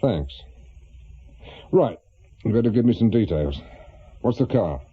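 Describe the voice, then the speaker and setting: An actor playing a cautious, seasoned private investigator with an English accent, speaking hesitantly and matter-of-factly in a radio drama. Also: male voice, fairly low pitch, expressive, unhurried, husky, muffled recording. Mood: businesslike. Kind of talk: naturalistic British radio drama dialogue.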